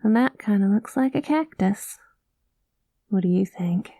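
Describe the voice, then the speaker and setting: A woman voicing a kind, easygoing space cowgirl pilot in an ASMR roleplay, talking gently in a folksy cowboy manner. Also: medium pitch, lively intonation, measured pace, no echo, studio quality. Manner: folksy drawling cowboy talk, calls listener partner; gentle and soothing.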